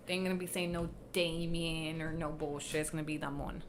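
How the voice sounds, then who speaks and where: A woman whose first language is Spanish hosts a casual livestream commentary. Her voice sits low, and she is blunt and sarcastic, swearing freely as she reacts. A faint hiss of noise runs under her voice. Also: steady tone, fairly quick. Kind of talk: casual commentary reading posts aloud.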